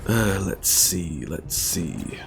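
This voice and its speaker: A man's voice: deep voice, expressive, extremely slow, vocal fry. A man giving casual live gameplay commentary, thinking aloud and chatting with his viewers as he plays. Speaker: gaming streamer. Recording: game music behind the voice. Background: video game music.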